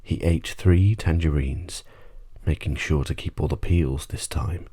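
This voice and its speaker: A man with a very deep, dark, breathy voice reads a bedtime story. His narration is soft and measured, in an ASMR style. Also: steady tone. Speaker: audiobook narrator.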